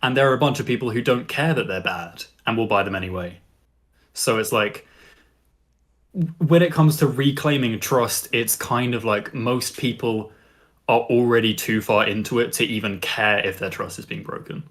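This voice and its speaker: A man with a mid-pitched voice talks as a co-host in a casual podcast discussion. He lays out his point in a matter-of-fact, conversational way. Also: normal speed, fairly bright tone.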